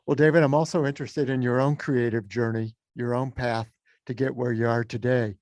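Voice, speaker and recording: A man hosting a casual interview, agreeable and quick to affirm what his guest says. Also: mid-range voice, steady tone, a little mellow, dry recording, clean studio sound. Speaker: interview host.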